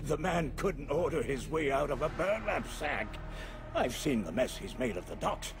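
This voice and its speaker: An actor voicing a cantankerous, defiant old property owner in a video game, scornful and blustering. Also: male voice, on the high side, lively intonation, normal speed. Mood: scornful, irritated. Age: older. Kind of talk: video game character acting. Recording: dramatic music behind the voice. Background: music.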